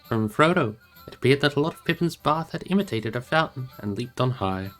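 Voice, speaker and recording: A man reading a fantasy novel aloud as expressive storybook narration. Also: mid-range voice, brisk. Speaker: storybook reader, streamer. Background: music.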